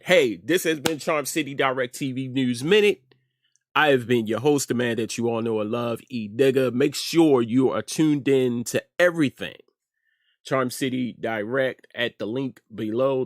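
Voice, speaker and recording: A middle-aged man with an American accent talks straight to his audience as the host of an informal commentary show. His voice sits at a medium pitch and he drives points home by repeating phrases with emphasis. The sound is dry, with little trace of the room. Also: measured pace, no echo.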